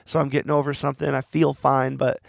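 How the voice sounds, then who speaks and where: A man's voice: mid-range voice, little pitch movement, fast talker, creaky, no echo, studio quality. A man hosting a podcast, talking alone in a rambling, earnest way while he is losing his voice. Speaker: podcast host. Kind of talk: reflective solo monologue.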